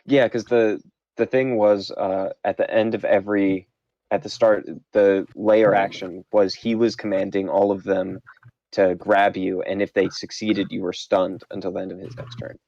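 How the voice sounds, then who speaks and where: A man who runs a tabletop game, chatting casually after a session in a halting, self-deprecating way with many restarts. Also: lowish voice, little pitch movement, creaky, darkish tone, no room sound. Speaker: game master. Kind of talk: casual post-game chat. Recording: muffled lo-fi audio.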